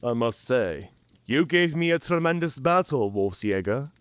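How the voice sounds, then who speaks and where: A man's voice: medium pitch, expressive, unhurried, clear, resonant tone, close to an everyday voice. A man playing a wounded, wry, talkative werewolf in human form, theatrical and bantering, in an audio drama. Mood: amused, teasing. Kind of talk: cinematic audio roleplay acting.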